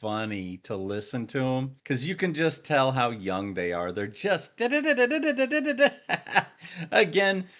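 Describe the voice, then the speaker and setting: A man's voice: mid-range voice, sing-song, unhurried, a bit bright. A man hosting a reaction video, talking casually and often repeating "again" as he comments. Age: adult military veteran. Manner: chatty, keeps repeating "again".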